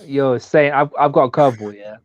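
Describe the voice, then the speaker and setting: A young man, chatting football on a podcast, relaxed and stumbling into his points. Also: mid-range voice, a little fast, dull audio. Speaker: podcast co-host. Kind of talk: casual football chat.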